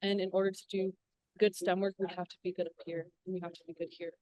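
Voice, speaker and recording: A woman's voice: on the low side, little pitch movement, fast, warm tone, no room sound, rough recording. A young woman from Alaska speaking on a panel in an earnest, encouraging way, with many fillers. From southwest Alaska. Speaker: panelist, engineer. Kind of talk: casual panel talk.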